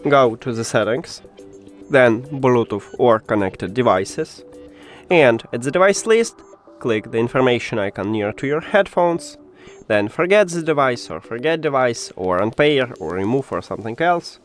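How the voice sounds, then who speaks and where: A man who speaks English as a second language, presenting a step-by-step tech tutorial and addressing the viewer directly in an instructional way. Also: medium pitch. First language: not English. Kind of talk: step-by-step how-to narration.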